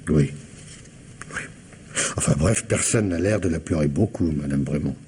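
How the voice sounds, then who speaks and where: A man's voice: very deep voice, normal speed, dark tone, slight background noise. A man acting as a patient, doggedly suspicious police investigator in a French radio mystery, speaking conversationally and insistently. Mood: probing. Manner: conversational, questioning, hesitant and insistent.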